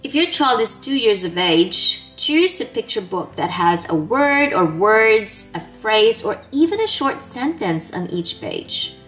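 A woman in her thirties giving a scripted, step-by-step instructional talk as an early childhood educator, clearly enunciated. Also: medium pitch, big pitch swings, unhurried, hardly any pauses, a bit bright, poor audio. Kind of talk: scripted instructional presentation to camera. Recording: background music under the voice. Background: music.

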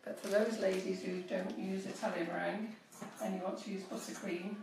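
Woman, 50s, cake decorating tutor, chatty and direct, slight cold squeak; roomy kitchen, distant phone mic. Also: steady tone, a little fast, vocal fry, darkish tone, slight background noise. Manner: chatty, instructive, addresses viewers directly. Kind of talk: live-streamed baking demonstration. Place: home kitchen, indoors. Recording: echoey kitchen, voice often off-mic.

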